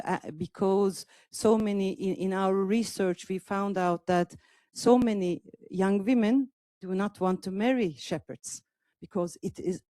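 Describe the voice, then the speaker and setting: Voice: mid-range voice, mellow, dry recording. A speaker from Turkey, asking questions at a panel debate, hesitant with many fillers. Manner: hesitant, many fillers, non-native phrasing. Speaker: audience member asking questions.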